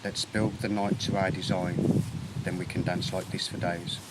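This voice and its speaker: A man with a fairly low voice recites verse in measured, separated phrases. A clear hum and vehicle noise run behind his reading. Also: flat delivery. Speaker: poet. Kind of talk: poetry reading.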